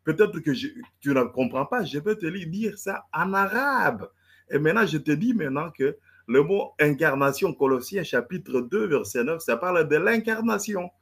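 A man's voice: on the high side, lively intonation, moderate pace, slightly rough. A man debating in French, which is not his first language, stammering and repeating words as he lectures. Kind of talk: heated live debate.

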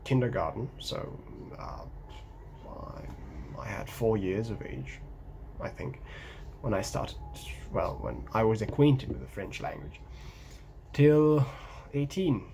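A young man with an Egyptian accent, whose first language is Egyptian Arabic, talks to camera in a home vlog, pausing often with ums and restarts. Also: lowish voice, lively intonation, creaky, dark tone, slight background noise, low-quality recording. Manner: hesitant, many ums and restarts, gestures while talking. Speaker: language-learning vlogger. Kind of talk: casual talking-head vlog. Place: small room at home by a window.

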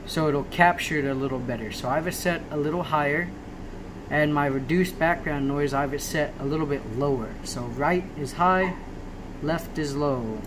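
Higher-voiced man over noisy background hum. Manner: halting, repeats check-ins like "right?". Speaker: tutorial maker. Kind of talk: casual off-the-cuff screen walkthrough. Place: small room indoors at a laptop. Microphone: handheld phone camera filming the screen. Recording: noisy home phone video, steady hum.

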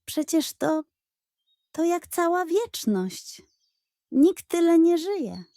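Lady doing a curious storybook schoolboy, boyish and excitable, soft dry sound. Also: very high voice, takes it slowly, no room sound, voice pushed up, exaggerated voice. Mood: amazed. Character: curious young schoolboy, grumbly then wide-eyed.

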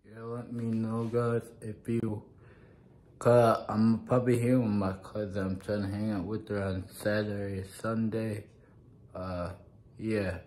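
A young man records a casual vlog on a phone held at arm's length in a small living room. He talks slowly in a lowish voice and rambles, circling back to the same filler phrases. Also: little pitch movement, darkish tone. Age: young adult. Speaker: vlogger. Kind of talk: casual vlog talk to camera. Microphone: phone camera at arm's length.

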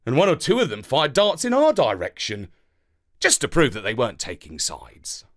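A man's voice: medium pitch, huge pitch swings, moderate pace. A man reading a children's story in a dramatic, playful way, as scripted narration.

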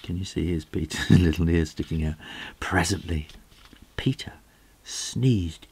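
A middle-aged man reading a children's storybook aloud expressively, with dramatic, drawn-out phrasing and a gravelly, husky voice that drops into hushed moments. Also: deep voice.

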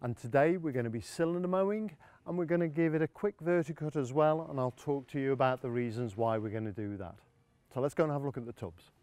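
A man's voice: medium pitch, fast, darkish tone, no echo. A middle-aged man, demonstrating lawn care outdoors in a garden, explaining practically with many fillers.

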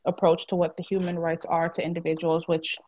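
A woman from Finland, a counselor at a women's shelter, speaking softly as a panelist on a video call. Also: fairly low pitch, little pitch movement, fairly quick, no echo, lo-fi sound.

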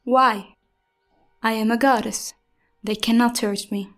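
A woman playing a serene, kindly nature goddess in a fantasy audio drama, gracious and unhurried. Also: on the high side, steady tone. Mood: confident.

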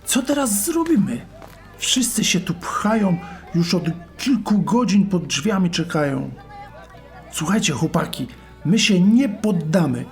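A man's voice: high voice, sing-song, unhurried, faint music behind, exaggerated voice. A man playing a determined leader of a group of friends in a children's Bible audio drama, speaking emphatically. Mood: worried, determined. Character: determined young man leading his friends.

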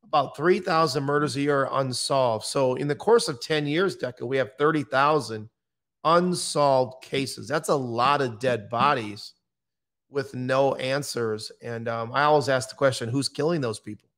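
A man's voice: mid-range voice, moderate pace, a bit bright. A middle-aged American man talking in a blunt, matter-of-fact way, as a guest in a conversational interview. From United States. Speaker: podcast guest, true-crime interviewer and author. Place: indoor room, on a video call. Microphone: microphone on boom arm.

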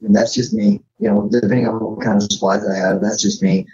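Gravelly, husky American man on lo-fi audio. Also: on the low side, sing-song, brisk, warm tone. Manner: informal, hands-on explaining, checks in with "right?". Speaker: guest on a survival podcast. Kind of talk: casual interview talk.

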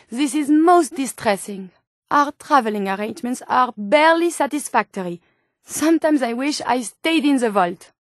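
Casting: earnest female robot scientist for a video game, French accent, high register, formal and precise, very dry close sound. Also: a little mellow, no room sound. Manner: formal, precise, slightly quaint phrasing. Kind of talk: video game companion dialogue.

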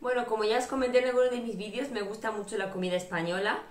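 A woman's voice: fairly high pitch, steady tone, fast talker, music in the background. A woman in her thirties from Bulgaria chatting casually and enthusiastically to camera as a vlogger. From Sofia, Bulgaria. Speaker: vlogger, channel host. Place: small bare-walled room indoors. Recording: roomy echo, distant microphone.

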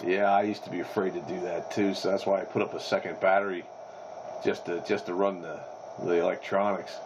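A man's voice: sits low, level tone, a little fast. A man chatting casually over CB radio. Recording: muffled, noisy CB radio audio.

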